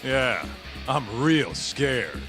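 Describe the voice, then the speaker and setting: An actor playing a gruff, irritable, foul-mouthed male sidekick in a video game, curt and sarcastic. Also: mid-range voice, very animated, very slow, music in the background. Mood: hostile, sarcastic. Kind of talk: video game cutscene acting.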